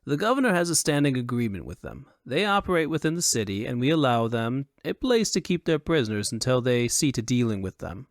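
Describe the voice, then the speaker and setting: A man's voice: mid-range voice, big pitch swings, a little fast, few pauses, dry recording, clean studio sound. A wary town militiaman, voiced by a male narrator, with a mid-range voice. He is guarded and firm, a jailer who could be bought, in an audiobook performance. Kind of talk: audiobook character acting.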